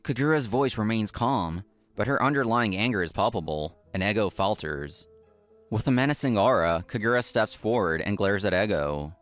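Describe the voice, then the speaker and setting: Man acting a low-voiced, earnest office worker. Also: animated, normal speed, a bit bright, faint music behind. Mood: narrating. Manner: polite, earnest. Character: earnest, polite male office worker telling his story. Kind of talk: scripted first-person narration, comic dub.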